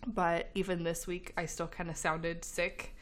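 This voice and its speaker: A woman's voice: fairly low pitch, level tone, a little slow. A woman co-hosting a casual podcast chat, laughing often and talking with lots of fillers, a little congested as she recovers from being sick. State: slightly congested, recovering from illness. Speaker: podcast co-host.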